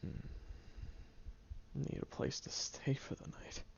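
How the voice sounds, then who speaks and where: A man voicing a grumpy tsundere teenage boy who is gruff but caring, grumbling, sighing and muttering half-whispered asides in an audio roleplay. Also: takes it slowly, lo-fi sound, a little hiss or hum, close to an everyday voice. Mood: gruff concern.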